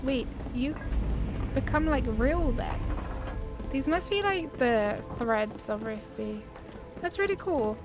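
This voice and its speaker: A woman's voice: high-pitched, expressive, takes it slowly, pauses often. A woman giving casual, chatty gameplay commentary as a video host, reacting aloud as she plays. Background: game music.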